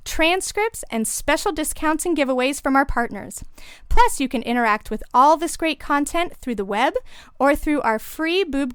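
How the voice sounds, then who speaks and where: A woman hosting a parenting podcast in a studio, reading her announcements and introductions in a bright, clear way. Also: sits high, no room sound. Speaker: podcast host and lactation consultant. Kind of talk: scripted show hosting.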